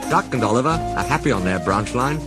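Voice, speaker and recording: A man's voice: mid-range voice, animated, quick pace, loud music behind the voice. A man reading a scripted children's story as its narrator.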